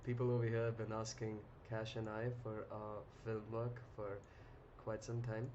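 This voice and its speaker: Relaxed man with many ums. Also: lowish voice, normal speed. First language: English. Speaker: live trading stream host. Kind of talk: casual live-stream chat reading viewer comments. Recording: muffled lo-fi audio with clear noise.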